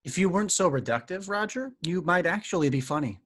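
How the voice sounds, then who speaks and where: A man's voice: high-pitched, animated, brisk, a bit bright. A man acts a level-headed young peacemaker of college age. He speaks as a friend among friends, in a staged play reading. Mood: challenging.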